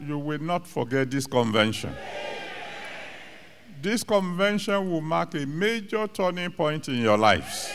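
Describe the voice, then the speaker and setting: Older Nigerian pastor decreeing blessings. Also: male voice, on the high side, a bit bright, a little hiss or hum. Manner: declamatory, rhythmic repeated pronouncements. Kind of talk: sermon blessing. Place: large studio stage, indoors. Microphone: handheld mic.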